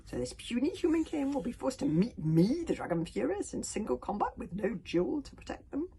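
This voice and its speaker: A woman voicing a huge male dragon rebel leader, imperious and scornful, with a forceful growl. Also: fairly high pitch, sing-song, a little fast, creaky, slight background noise, voice pushed up. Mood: fierce, triumphant.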